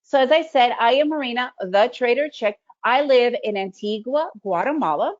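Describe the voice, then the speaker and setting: A woman's voice: on the high side, lively intonation, normal speed, lo-fi sound. A middle-aged woman, originally from the Soviet Union and a native Russian speaker, presenting a trading webinar in a conversational way, often asking "right?". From Soviet Union, raised in the United States. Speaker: day trading educator. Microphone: video call.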